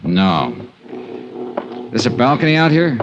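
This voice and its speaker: An actor playing a wry, world-weary American investigator, sardonic and hard-boiled, narrating and acting in an old-time radio drama. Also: male voice, medium pitch, wildly swooping pitch, a little slow, dull audio, noisy background.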